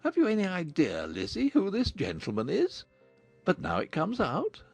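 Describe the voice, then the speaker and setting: Man acting gravelly, wildly expressive witty older father. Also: high-pitched, moderate pace, no echo, soft background music, voice pushed down, full character voice. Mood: teasing. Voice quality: gravelly, husky. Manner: sardonic, theatrical emphasis. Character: witty, teasing older father, mocking and amused. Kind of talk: dramatised audiobook reading.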